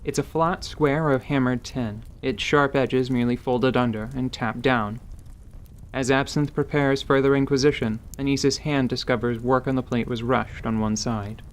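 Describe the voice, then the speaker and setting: A man's voice: mid-range voice, fairly even intonation, moderate pace, clear, resonant tone. A man narrating a scripted fantasy audio drama as its storyteller.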